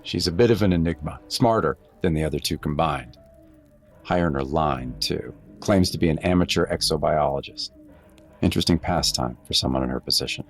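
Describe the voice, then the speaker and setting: A seasoned expedition guide with a very low voice. He is measured, dry and commanding, as in a science fiction radio play, with music underneath. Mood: dry, sardonic. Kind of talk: audio drama acting with narration.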